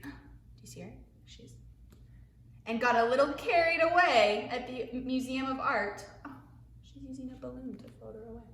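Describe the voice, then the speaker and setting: Woman, preschool teacher, high voice, expressive storybook read-aloud, hushed asides to little listeners, echoey room. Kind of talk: animated picture-book read-aloud for young children.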